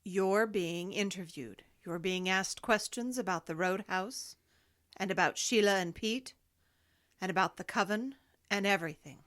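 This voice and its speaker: Mysterious interrogator female actor: mid-pitched, unhurried, scornful, condescending, sinister horror radio delivery. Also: a bit bright, lightly acted. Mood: scornful probing. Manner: deliberate, condescending, articulate. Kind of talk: audio horror drama acting.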